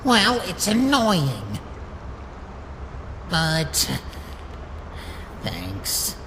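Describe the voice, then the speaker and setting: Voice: medium pitch, huge pitch swings, drawn-out words, sharp tone, big-room sound, slight background noise, full character voice. An actor voicing a small, irritable, childlike male talking flower in a fan comic dub, whiny and snappy. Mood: grudging.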